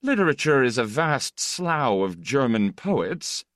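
A man's voice: mid-range voice, big pitch swings, takes it slowly, fairly bright tone, dry recording, pitched above the performer's own voice. Man plays aloof twenties poet for audiobook. Dry, curt, scornful. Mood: bored scorn. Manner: clipped, scornful. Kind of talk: audiobook character dialogue.

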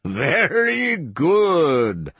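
A man's voice: on the low side, very animated, takes it slowly, a bit bright, husky, no echo, full character voice. A man voicing a cold, sadistic interrogator in an audiobook, smugly patient, taunting and commanding. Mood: gleeful. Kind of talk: audiobook character voice.

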